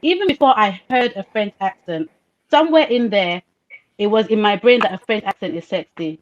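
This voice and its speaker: A woman's voice: mid-range voice, expressive, moderate pace. A woman with a Nigerian accent talks with passion and leans on rhetorical questions to drive each point home. Her voice sits in an ordinary middle range. The recording is a bit dull and lo-fi. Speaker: panelist. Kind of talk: casual discussion. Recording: dull lo-fi sound.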